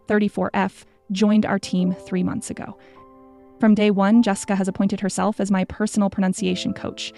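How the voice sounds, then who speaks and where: Creaky, mellow-voiced female story narrator. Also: medium pitch. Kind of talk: scripted narration.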